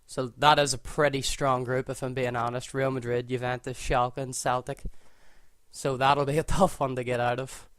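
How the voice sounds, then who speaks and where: A man's voice: medium pitch, normal speed, clean tone, dry recording, studio quality. A man with a Northern Irish accent, talking casually through his choices as he sets up a football game, thinking aloud and often correcting himself mid-sentence. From Northern Ireland. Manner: relaxed, thinks aloud, self-correcting as he goes. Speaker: gaming video creator. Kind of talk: casual gameplay commentary.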